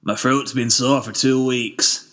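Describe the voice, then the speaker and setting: A man's voice: medium pitch, fairly even intonation, takes it slowly, a bit bright. Man doing a scratchy-voiced stout pony shopkeeper. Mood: doubtful. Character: stout, forward male pony shopkeeper with sore throat. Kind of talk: fan fiction character reading.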